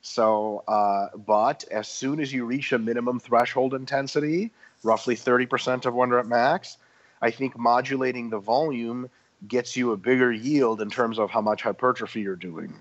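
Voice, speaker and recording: A man's voice: mid-range voice, steady tone, moderate pace, lo-fi sound. A man explaining muscle training in a casual podcast discussion, talking through his points with frequent ums and restarts. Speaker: guest expert.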